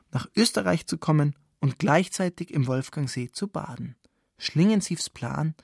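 A man with a fairly high, creaky voice in a dry, close studio sound. He presents satirical radio narration as a host, wry and ironic, tossing out rhetorical questions. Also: darkish tone, dry recording.

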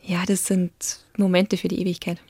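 A woman's voice: fairly low pitch, flat delivery, moderate pace, no room sound. Bavarian woman in her 20s, chatty and thoughtful, laughs easy, dead dry room. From Lower Bavaria, Germany. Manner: reflective, chatty, laughs easily, trails off softly. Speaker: guest, Paralympic athlete. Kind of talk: casual interview talk.

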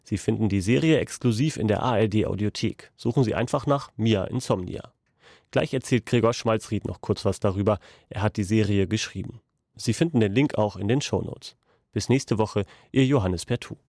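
Male podcast host, lowish pitch, scripted tip to listeners, very dry studio sound. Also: normal speed, creaky, a little mellow, dry recording. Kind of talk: scripted promotional presenting.

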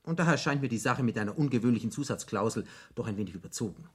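Husky, indignant devil. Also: male voice, medium pitch, expressive, rapid-fire, a little mellow. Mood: persuasive. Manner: rhetorical, emphatic, elaborate phrasing. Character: male devil, eloquent, indignant, persuasive tempter. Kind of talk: radio drama acting.

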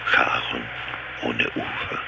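A man's voice: takes it slowly, noisy background, close to an everyday voice. An actor playing a brooding man who dictates terse, detached observations in a radio drama monologue. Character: brooding man dictating sparse notes on landscapes.

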